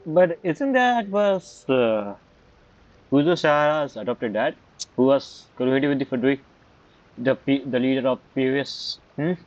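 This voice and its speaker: A man's voice: mid-range voice, expressive, unhurried, dull audio, soft background music. A man giving casual game playthrough commentary, musing aloud with short reactions and questions. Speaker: game streamer.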